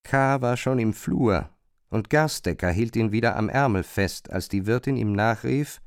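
A man's voice: on the low side, expressive, unhurried, clean tone, no echo. Male literary narrator, close dry sound. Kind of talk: scripted literary narration in radio drama.

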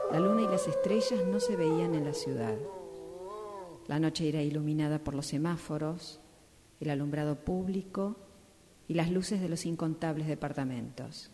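A woman reading a scripted story as a radio drama narration exercise. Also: low-pitched. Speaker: radio drama workshop participant. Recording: clear background noise.

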